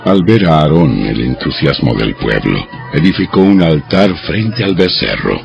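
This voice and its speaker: A man narrating a dramatized audio Bible in a scripted storytelling style, with a gravelly voice. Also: rumbling low, very animated, measured pace, lo-fi sound. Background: music.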